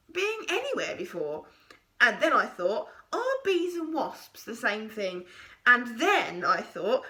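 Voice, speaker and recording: A woman voicing a hulking male monster with a childlike, forgetful mind, who rambles excitedly and loudly. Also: high-pitched, voice pushed up, full character voice.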